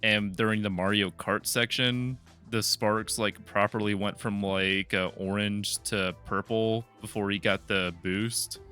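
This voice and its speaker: A young man co-hosting a podcast, calmly explaining his view step by step. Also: on the low side, unhurried, few pauses, clear, resonant tone, soft background music. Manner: explanatory, building arguments step by step. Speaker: podcast co-host. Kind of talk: casual chat.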